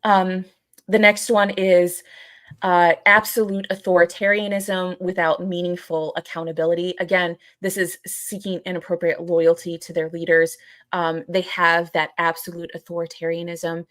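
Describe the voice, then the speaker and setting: A woman's voice: lowish voice, level tone, normal speed, a bit bright, no echo. A woman co-hosting a podcast, explaining points in casual discussion and often rewording them as she goes. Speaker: live podcast co-host. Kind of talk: casual explanatory discussion going through a list.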